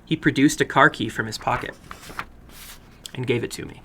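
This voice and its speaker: A man narrating a short horror story dramatically, with a theatrical, deliberate delivery. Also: medium pitch, pushed and bright, a little hiss or hum. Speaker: storyteller, horror fiction broadcast host.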